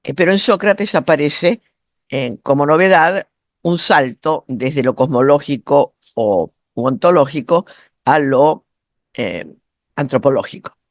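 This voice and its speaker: A man giving an academic seminar lecture in a halting, didactic way, his throat sore from allergy. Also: fairly high pitch, animated, no echo. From Argentina. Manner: didactic, halting, often rephrasing and checking understanding. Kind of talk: academic lecture, spoken off the cuff.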